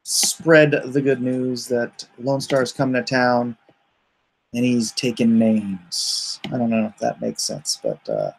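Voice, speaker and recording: A man's voice: mid-range voice, lively intonation, slow pace, vocal fry, a little hiss or hum, low-quality recording. A man hosting a livestream, chatting casually and reading viewer comments aloud in a rambling, joking way. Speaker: comic artist hosting a livestream.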